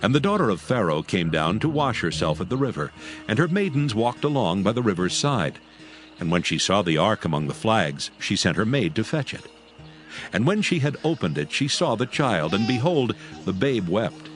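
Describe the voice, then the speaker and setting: A man narrating scripture in a measured, solemn reading. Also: fairly low pitch, sing-song, soft background music.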